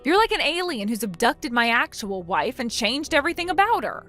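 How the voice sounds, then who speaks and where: A woman's voice: high voice, big pitch swings, brisk, sharp tone, faint music behind, exaggerated voice. A woman voicing an exasperated husband in a melodramatic story, accusing and disbelieving. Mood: dismayed. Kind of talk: acted dialogue in a melodramatic story.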